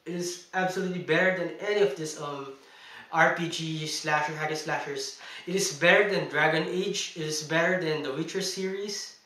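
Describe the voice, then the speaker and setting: A man's voice: on the high side, steady tone, moderate pace, bright, forceful tone, distant mic. A young man, a Tagalog speaker, chatting emphatically in a casual video game review and laughing between points, recorded indoors. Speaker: gaming vlogger. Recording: echoey room, mic set back.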